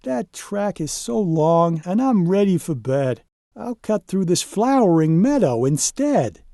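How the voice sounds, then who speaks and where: A man puts on a brash, bragging storybook dump truck who wants to be the boss. The voice sits well above a plain speaking voice and bounces around in pitch. The recording is dry and close. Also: very animated, unhurried, mellow, no echo, full character voice. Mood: grumpy. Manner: brash, bragging, rhyming sing-song. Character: boastful bossy male cartoon dump truck.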